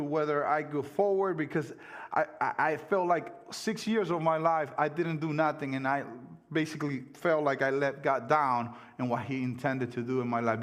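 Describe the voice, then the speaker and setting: An earnest male pastor in his thirties whose first language is Spanish delivers a sermon with a slightly raised pitch. He circles back over his phrases and slips into the doubting inner voices of his congregation.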